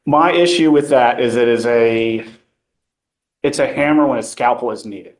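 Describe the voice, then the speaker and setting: A man, a defense attorney, arguing formally and persistently in a courtroom, with frequent ums. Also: medium pitch. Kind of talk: courtroom legal argument.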